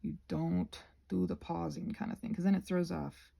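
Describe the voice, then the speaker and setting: A woman chatting casually in a soft-spoken, digressive, self-deprecating ramble as she works on a craft. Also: fairly low pitch, big pitch swings, normal speed, gravelly, slightly rough. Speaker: craft vlogger. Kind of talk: casual rambling chat while painting.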